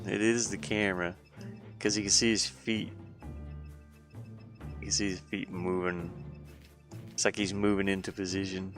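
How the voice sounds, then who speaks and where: A man with a fairly deep, fry-edged voice talks casually on a live stream. He thinks aloud in halting, muttered phrases as he works, over faint background music. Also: fairly bright tone. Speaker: hobby game developer streaming his work. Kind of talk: casual live-stream chat while working.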